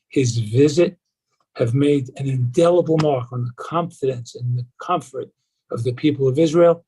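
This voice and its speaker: A man's voice: medium pitch, dark tone, breathy edge, low-quality recording. A man who chairs a nonprofit board, giving an earnest, reflective update on a video call in loose, rambling sentences. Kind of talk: live video briefing remarks.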